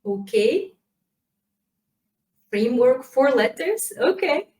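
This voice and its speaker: A woman with a slightly high voice gives a lively, casual conference presentation, chatty and full of fillers. She is heard from a distance in a roomy space through a laptop mic. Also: slow. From Kazakhstan. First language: Kazakh. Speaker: community manager giving a talk.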